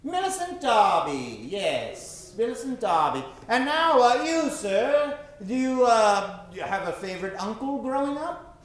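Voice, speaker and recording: A male host works a live audience with theatrical, showman-like patter. His delivery swings widely in pitch, and his voice rings out in a very echoey theater as he runs an improv show. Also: sing-song, a little slow.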